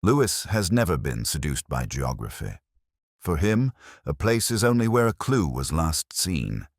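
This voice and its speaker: A man playing a very deep-voiced, brooding detective. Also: sing-song, dry recording, studio quality, full character voice. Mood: brooding, reflective. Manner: measured, reflective, literary phrasing. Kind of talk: scripted first-person detective narration, audio drama.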